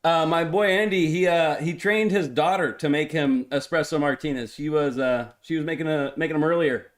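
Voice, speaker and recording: Male co-host, fairly high register, casual play-by-play in short reactive bursts mixed with banter. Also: normal speed. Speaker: co-host calling the game.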